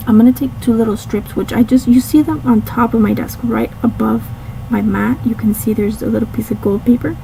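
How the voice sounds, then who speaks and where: Raspy-voiced woman with a mellow fry. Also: mid-range voice, slight background noise, rough recording. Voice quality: raspy, catching a cold. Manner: rambling, many ums and restarts, apologetic. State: slightly hoarse. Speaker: scrapbooking channel host. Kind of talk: casual voice-over narrating a craft video.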